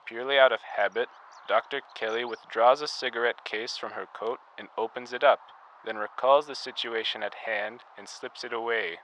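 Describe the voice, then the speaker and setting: A man's voice: mid-range voice, fairly even intonation, moderate pace, a bit bright, a little hiss or hum, close to an everyday voice, rough recording. A man playing an earnest 1930s radio presenter, reading scripted narration in a radio play. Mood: narrating.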